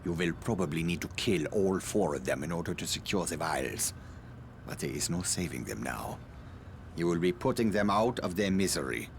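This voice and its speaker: An actor playing an evasive, self-justifying scientist in a video game, speaking formally and precisely with polite condescension. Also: male voice, low voice, animated, moderate pace, creaky, slight background noise. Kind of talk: dramatic video game cutscene acting.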